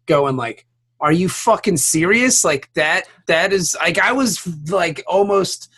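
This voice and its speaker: A man co-hosting a podcast, chatting casually and telling anecdotes in an excitable, exaggerating, sweary way. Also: high-pitched, slow, slightly rough. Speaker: podcast co-host. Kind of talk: casual animated chat and anecdotes.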